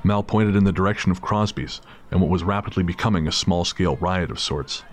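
A man's voice: deep voice, brisk. A man narrating a scripted dramatic story as the narrator of an audio drama. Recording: clear background noise of city ambience.

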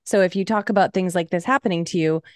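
A woman's voice: fairly low pitch, fast, a little mellow, dry recording, studio quality. A woman co-hosting a podcast, speaking in casual conversation. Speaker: podcast co-host. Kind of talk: casual chat.

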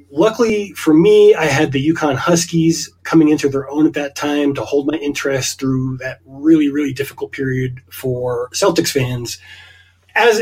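A man's voice: a little high, a little mellow. A man in his early forties from Eastern Connecticut, talking in a reflective, rambling way on a casual podcast. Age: 40s. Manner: reflective, rambling with hedging asides. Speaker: podcast co-host.

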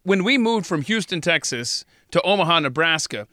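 Bright, upbeat man interviewing. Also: on the high side, lively intonation, normal speed, no room sound, studio quality. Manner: upbeat, laughs often, repeats words. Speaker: podcast interviewer. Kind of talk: casual conversational interview.

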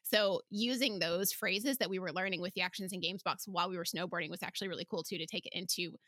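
A woman hosting a casual podcast interview, telling stories in an animated, informal way. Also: fairly low pitch, fast talker, no echo. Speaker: podcast host; homeschooling parent.

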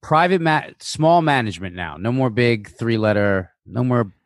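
A man's voice: mid-range voice, animated, moderate pace, no echo, studio quality. A male comedian talking casually as a guest on a podcast. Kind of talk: casual chat.

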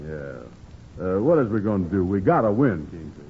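An actor playing a gullible, easily flustered sidekick in an old radio comedy, grumbling in folksy dialect grammar. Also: male voice, low-pitched, sing-song, normal speed. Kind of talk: 1940s-50s radio comedy acting. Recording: muffled, hissy old radio recording.

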